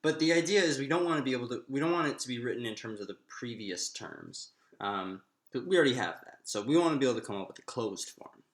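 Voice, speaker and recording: A man giving an informal math lecture, thinking aloud in a halting way with drawn-out pauses. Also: mid-range voice, animated. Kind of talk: informal lecture, working through a derivation aloud. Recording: roomy, echoing room sound.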